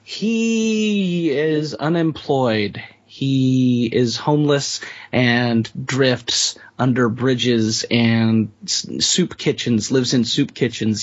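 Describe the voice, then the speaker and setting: A man talking casually as a player in a tabletop role-playing game, informal and jokey, thinking out loud as he describes a character. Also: medium pitch, takes it slowly, breathy edge, dry recording, highs cut off.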